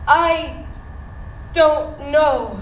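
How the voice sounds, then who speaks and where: A woman's voice: high voice, very slow. An actress playing an urbane, indecisive, pretentious woman in her thirties in a stage play, with an intellectual, theatrical manner. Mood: hesitant. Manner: theatrical, intellectual, sprinkles in French. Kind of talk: live stage play acting. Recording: echoey theatre stage recording, room noise.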